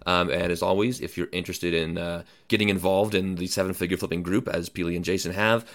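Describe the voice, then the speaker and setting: A man hosting a podcast, giving a brisk, promotional introduction to the episode. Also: low voice, fairly even intonation. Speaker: podcast host.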